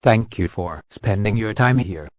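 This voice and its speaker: Man, lowish pitch, solemn, emergency announcer, dull muffled audio. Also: animated, unhurried, a little mellow, no echo. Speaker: emergency broadcast announcer in a fictional scenario. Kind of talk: scripted emergency alert narration.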